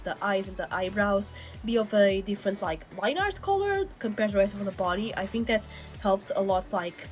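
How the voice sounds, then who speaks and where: Woman chatting casually about art, voice a bit under the weather, soft music behind. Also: medium pitch. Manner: chatty, informal, frequent "like" asides. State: sick, still under the weather. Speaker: co-host, digital artist. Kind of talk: casual speedpaint commentary. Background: background music.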